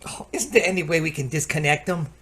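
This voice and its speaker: A man's voice: fairly high pitch, fast talker, a bit bright, a little hiss or hum, close to an everyday voice. A man playing a flustered, henpecked repairman in an old-time radio drama, stammering and pleading theatrically. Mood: despairing.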